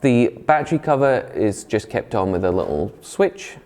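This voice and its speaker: Guy with a lowish voice doing a scripted gadget review, informal and explaining stuff as he goes. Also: sing-song, moderate pace, darkish tone. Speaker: product reviewer, presenter.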